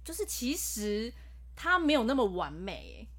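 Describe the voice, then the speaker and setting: A woman's voice: fairly high pitch, sing-song, normal speed, a bit bright. A woman, a professional voice actor, chatting earnestly on a podcast. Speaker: voice actor guest. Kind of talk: casual podcast chat.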